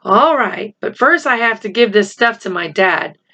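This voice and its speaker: A woman's voice: medium pitch, lively intonation, moderate pace, vocal fry, sharp tone, heavily acted. A woman voicing an earnest, hopeful schoolboy who guards a secret, in a storybook reading. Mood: eager. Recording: slightly dull, lo-fi home recording.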